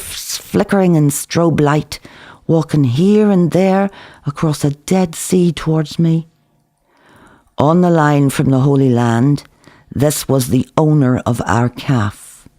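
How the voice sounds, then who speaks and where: A man reading his own novel aloud in an expressive, theatrical literary narration. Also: on the high side. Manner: theatrical, performing dialogue.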